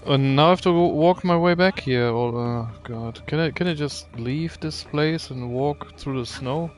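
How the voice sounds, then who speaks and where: A man's voice: on the high side, moderate pace, faint music behind. A man giving casual gameplay commentary, muttering wryly and thinking aloud as he plays. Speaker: gaming video host.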